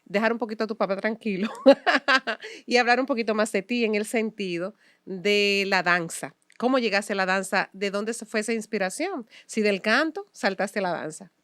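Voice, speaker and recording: A woman hosts a conversational interview in a casual, chatty style. Her voice is clear and smooth at a middle pitch, recorded dry and close. She interjects often and speaks directly to her listeners. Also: normal speed, fairly bright tone, dry recording. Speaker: interview host.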